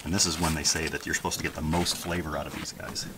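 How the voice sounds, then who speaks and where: American male homesteading vlogger, middle-aged, extremely low voice, casual and rambling, noisy outdoor camera audio. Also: flat delivery, fast. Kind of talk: casual garden tour vlog. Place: outdoors in a backyard garden. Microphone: camera held at arm's length. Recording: outdoor handheld recording with background noise.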